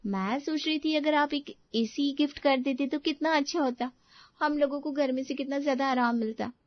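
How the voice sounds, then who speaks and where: A woman's voice: high voice, steady tone, normal speed, no echo, lo-fi sound, natural voice. A woman playing an entitled, demanding married daughter in a moral story, with a whiny, insistent delivery. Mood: wheedling. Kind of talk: animated moral story voice acting.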